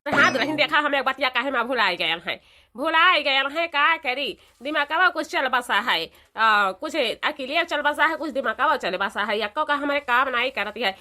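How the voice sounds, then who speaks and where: A woman's voice: sits high, animated, moderate pace, pushed and bright. A woman voicing a bossy but kindly old village mother-in-law in a cartoon, with an eastern Uttar Pradesh accent and a repetitive, instructing manner. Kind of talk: cartoon dialogue.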